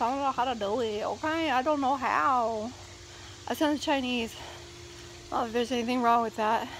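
A woman's voice: high-pitched, slight background noise, rough recording. A woman in her thirties rambling casually to her phone camera outdoors by a backyard pool, full of fillers. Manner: rambling and chatty, with many fillers. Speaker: vlogger. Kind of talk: casual vlog chat. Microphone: phone held at arm's length.

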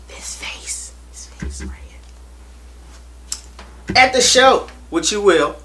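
A young American man vlogging to camera in a small room, hyped and animated, repeating himself as he urges viewers to come. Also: high-pitched, very slow, in a whisper. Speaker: reptile channel vlogger. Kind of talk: casual to-camera promo vlog. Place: small indoor room against a plain wall.

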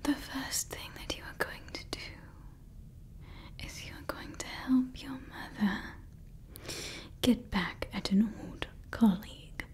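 A woman voicing a scheming female evil scientist who calls herself Mother, in a slow, soft, conspiratorial whisper for ASMR roleplay on a close microphone. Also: fairly high pitch. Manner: slow, intimate, conspiratorial ranting. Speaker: ASMR creator.

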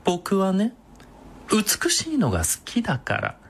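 Husky, campy, sadistic villain. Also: male voice, high voice, wildly swooping pitch, unhurried, full character voice. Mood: sadistic, boastful. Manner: campy, mincing, feminine phrasing. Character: sadistic effeminate male knife-wielding villain, taunting and vain. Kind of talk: radio drama acting.

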